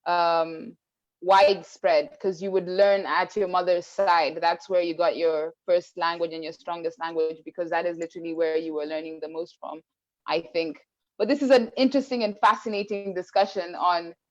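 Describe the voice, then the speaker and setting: Woman host from Kenya, low-pitched, reflective, dull lo-fi call audio. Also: fairly even intonation, normal speed. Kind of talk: casual panel chat.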